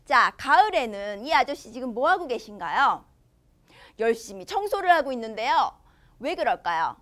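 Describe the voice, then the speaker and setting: A young woman teaching a children's language lesson, expressive and enunciating slowly for young learners. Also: a little high. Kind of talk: children's language lesson, switching between Korean and English.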